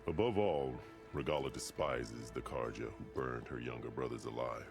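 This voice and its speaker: An actor playing a stern, proud warrior chief who speaks in formal, commanding declarations in a video game. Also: male voice, extremely low pitch, normal speed, gravelly. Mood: grave, resolute. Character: stern, proud warrior chief of a tribe, battle-scarred male ruler. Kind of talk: dramatic video game cutscene acting. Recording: game music behind the voice. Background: game soundtrack music.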